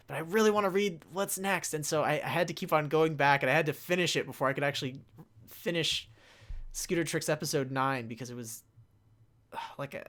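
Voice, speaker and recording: A young man chatting casually and off the cuff as a panelist, haltingly reading out chat questions and answering them. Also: on the high side, a bit bright.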